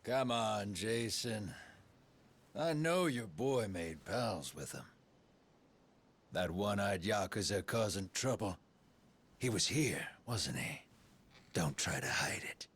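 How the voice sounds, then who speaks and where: A man's voice: fairly low pitch, expressive, slow, pauses often, a bit bright, slightly rough, exaggerated voice. An actor playing a menacing pirate gang boss, a man who taunts and leers with mock politeness in a video game. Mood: accusing, menacing. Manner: taunting, leering. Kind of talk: video game villain acting. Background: faint game music.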